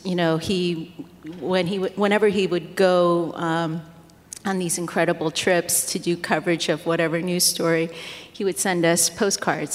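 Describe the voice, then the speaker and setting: A middle-aged woman born in the United States, whose first language is Korean, talking as a guest in an interview, rambling and self-deprecating with many fillers. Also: lowish voice. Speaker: guest speaker. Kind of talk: casual interview talk. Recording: long hall reverb.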